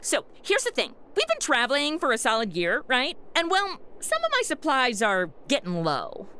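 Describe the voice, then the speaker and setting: A sassy modern young woman played by an actress in a comic radio sci-fi play. Her high voice is bright and swoops around, full of sarcasm and slang, with faint noise behind it. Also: wildly swooping pitch, moderate pace, clear, resonant tone, full character voice. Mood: wry, chatty. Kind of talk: comedic radio drama acting.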